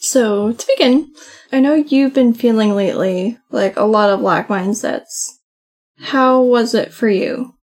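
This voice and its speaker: A soft-spoken woman co-hosting a podcast with her husband, chatting casually and often saying "like". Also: fairly high pitch, a little slow. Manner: chatty, frequent "like" fillers. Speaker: podcast co-host. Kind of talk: casual conversation with spouse.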